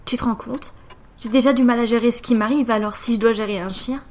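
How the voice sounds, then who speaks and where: Teenage girl, played by a woman, fairly high voice, hesitant, touchy, unsure, audio drama dialogue. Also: fairly even intonation, fast, darkish tone, natural voice. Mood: doubtful. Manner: hesitant, protesting. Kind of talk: amateur French audio fiction dialogue.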